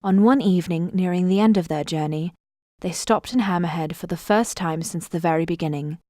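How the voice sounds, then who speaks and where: A woman's voice: on the low side, brisk, mellow, dry recording, clean studio sound, lightly acted. A woman playing a composed storyteller, giving scripted narration for a fantasy audio drama.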